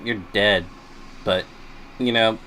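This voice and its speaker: A man's voice: fairly low pitch, fairly even intonation, slow pace, bright, forceful tone, a little hiss or hum, low-quality recording. A man livestreaming a visual novel, giving casual commentary and reading choices aloud.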